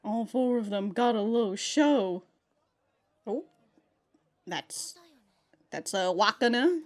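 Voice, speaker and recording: A woman giving casual, slangy reaction commentary as the host of a video, in an animated, informal way. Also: on the high side, takes it slowly.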